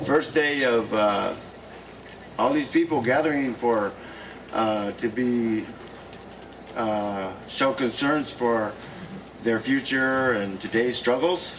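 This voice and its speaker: A man addresses a gathering in slow, halting speech full of pauses and fillers. He is picked up from a distance, with clear noise around him at an outdoor event. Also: mid-range voice. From South Dakota, United States. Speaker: speaker at a gathering.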